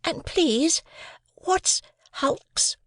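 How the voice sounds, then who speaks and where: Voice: high voice, expressive, unhurried, no room sound, voice pushed up. A reader voicing a timid, curious young boy asking anxious questions in an audiobook. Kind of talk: audiobook character voice.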